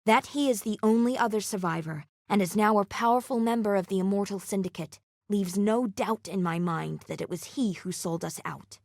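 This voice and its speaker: An actress playing a solemn, vengeful assassin, last of a secret order, in a video game, speaking in a measured, formal, grave way. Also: female voice, mid-range voice, vocal fry, a little mellow, no echo, studio quality. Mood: bitter, vengeful. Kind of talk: scripted video game character dialogue.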